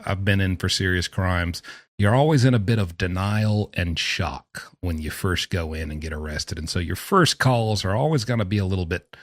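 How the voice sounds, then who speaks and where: A man's voice: sits low, dry recording, studio quality. A man giving casual, sarcastic reaction commentary as a host, mocking and theatrical in his emphasis. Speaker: host commenting on prison phone calls.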